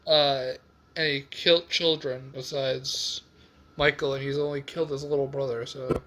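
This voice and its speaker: A man's voice: mid-range voice, level tone, normal speed. A man doing casual reaction commentary on game voice lines, rambling and hesitant as he thinks aloud. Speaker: reaction video host.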